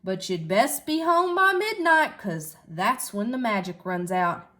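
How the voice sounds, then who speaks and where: A woman voicing a kindly, folksy fairy god cow from a storybook, with drawn-out country talk. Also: mid-range voice, lively intonation, sharp tone, slight background noise. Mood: encouraging, cautioning.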